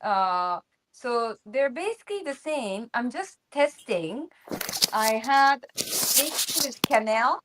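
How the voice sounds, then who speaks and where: A woman's voice: fairly high pitch, animated, slow, noisy background. A woman from Japan chatting on a video call, hesitant and restarting her sentences. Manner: hesitant, restarts, laughs softly. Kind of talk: casual video call chat.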